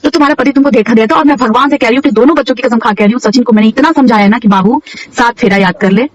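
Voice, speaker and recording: A woman's voice: on the high side, rapid-fire, dry recording, distant mic, lo-fi sound. A woman in a heated, informal phone conversation, speaking emphatically and repeating words insistently, on a call recording.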